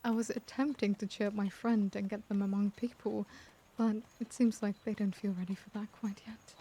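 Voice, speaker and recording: A woman voicing an elegant, caring vampire lady in a soft-spoken ASMR roleplay, speaking gently with formal, old-world courtesy. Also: medium pitch, fairly even intonation, moderate pace, breathy edge. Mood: courteous, inviting. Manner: genteel, gentle, doting, formal wording.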